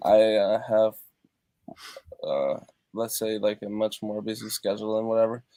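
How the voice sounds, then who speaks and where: A man from Europe with a low, gravelly voice talks about his music on a video call. He speaks haltingly, circling back and filling gaps with "uh" and "like". The sound is dry and close, from his treated home studio. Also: fairly even intonation, mellow, no echo. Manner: halting, many fillers and restarts. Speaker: guest musician. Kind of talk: casual interview over video call.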